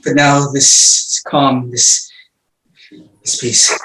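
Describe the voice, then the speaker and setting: An actor playing a sick, tormented young prince haunted by madness, weary and feverish by turns. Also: male voice, on the high side, measured pace, fairly bright tone. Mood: exhausted. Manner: weary and feverish, swinging into frantic raving. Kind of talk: stage play reading. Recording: roomy echo.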